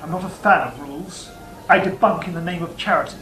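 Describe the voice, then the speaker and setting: A woman playing a wily old serpent in an audio drama, with a measured, teasing way of talking. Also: on the low side, a bit bright, slight background noise, lightly acted. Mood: measured, enigmatic. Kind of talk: stage-style audio drama acting. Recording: roomy, distant, music underneath.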